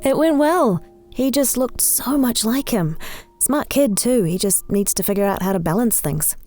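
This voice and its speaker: A woman playing a hero's caring partner in gentle, conversational audio drama dialogue. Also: on the high side, animated, normal speed, soft background music, lightly acted. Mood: fond, reflective. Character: caring female partner of the hero.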